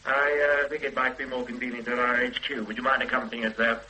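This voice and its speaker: An actor in a radio drama playing a police superintendent who questions people in a calm, formal and persistent way. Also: male voice, mid-range voice, fast, poor audio. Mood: firm. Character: measured, dogged police superintendent. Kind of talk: 1980s radio drama acting.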